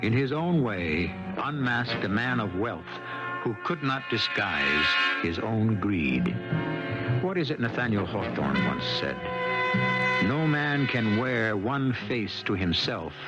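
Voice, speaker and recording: A man hosting a radio mystery drama with scripted, theatrical narration in measured phrases. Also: on the low side, very animated. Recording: dull vintage radio broadcast, loud music behind.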